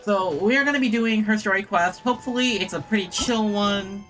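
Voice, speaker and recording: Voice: medium pitch, fairly quick, fairly bright tone, faint music behind, poor audio. A streamer chatting casually and enthusiastically to viewers while playing a game, in a rambling way. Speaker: streamer and host. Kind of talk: casual livestream gaming chat.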